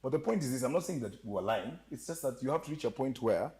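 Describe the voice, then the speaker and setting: A man with a slight Ugandan accent telling stories as a podcast guest, animated and joking, often laughing. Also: mid-range voice, quick pace. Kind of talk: storytelling interview chat.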